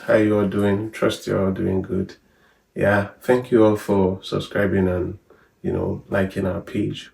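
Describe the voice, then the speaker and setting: A man in his thirties with a Nigerian accent, chatting in a relaxed, rambling way on a family vlog. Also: low-pitched, vocal fry. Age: 30s. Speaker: vlogger. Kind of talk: casual chat. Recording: echoey room from far off.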